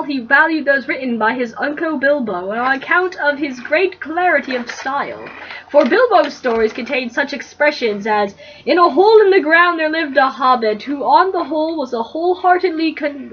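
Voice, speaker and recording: A young woman, giggly, excitable and playful, chatting casually and reading aloud in an amateur way. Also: high-pitched, normal speed. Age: young adult. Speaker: co-host reading fan fiction aloud. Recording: muffled, noisy lo-fi home recording.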